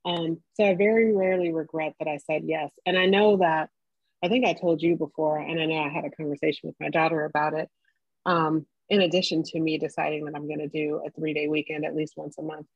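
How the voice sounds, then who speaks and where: A middle-aged woman with a lowish, husky voice, talking candidly and emphatically on a casual podcast. The sound is dull and dry, with no room around it. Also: level tone, a little fast, no room sound. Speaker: podcast co-host. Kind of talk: casual chat.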